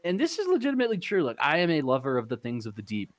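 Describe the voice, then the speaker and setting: A man's voice: on the high side, huge pitch swings, racing. A man playing a tabletop game on a podcast, chatting casually and riffing with playful enthusiasm.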